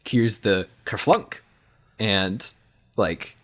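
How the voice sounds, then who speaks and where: A man casually improvising scene narration as a roleplay player, halting as he thinks aloud. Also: on the low side.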